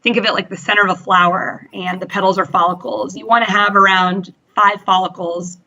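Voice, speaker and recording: Bright, chatty woman in her thirties. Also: mid-range voice, level tone, a little fast, lo-fi sound. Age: 30s. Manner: chatty, many fillers and quoted self-talk. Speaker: interview guest. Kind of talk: candid personal story.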